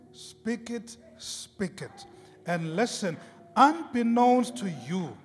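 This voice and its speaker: A man preaching an impassioned sermon in an emphatic, repetitive, rhetorical style. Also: high voice. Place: large reverberant indoor worship space. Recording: hall reverb with music behind.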